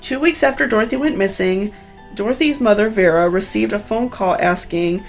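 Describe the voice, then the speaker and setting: A woman's voice: medium pitch, normal speed, soft background music. A woman hosting a true crime story, reading scripted narration with personal asides in an earnest, sympathetic, cautionary way.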